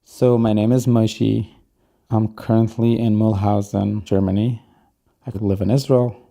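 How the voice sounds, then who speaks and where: Young male interviewee, Yiddish native; unhurried, reflective delivery, low gravelly tone. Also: little pitch movement, mellow. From upstate New York. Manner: slow, thoughtful, with pauses and fillers. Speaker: interview guest. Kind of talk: reflective personal storytelling.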